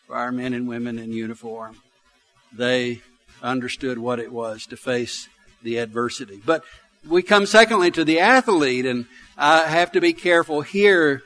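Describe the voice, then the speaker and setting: A man's voice: mid-range voice, fairly bright tone. A man in his sixties with a Southern US accent, preaching a sermon in a folksy, conversational way built on personal stories. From Alabama, United States. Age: 60s. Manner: folksy, conversational storytelling with repeated phrases for emphasis. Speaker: pastor.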